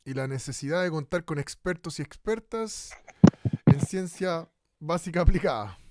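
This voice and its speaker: A man's voice: a little high, moderate pace, very noisy, lightly acted. A man with a Chilean accent in a radio drama, playing an easily flustered radio host. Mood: formal. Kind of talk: Spanish-language radio drama acting.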